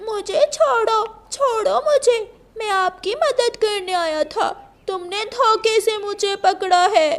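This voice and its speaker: A woman's voice: very high voice, slow pace, few pauses, voice pushed up. A woman voicing an innocent young boy bird in a children's cartoon, with a polite, pleading, childlike manner. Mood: frightened pleading.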